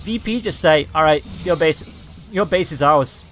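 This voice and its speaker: A slightly hoarse man calling a match in quick, excited play-by-play commentary. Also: a little high, a little hiss or hum. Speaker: esports caster.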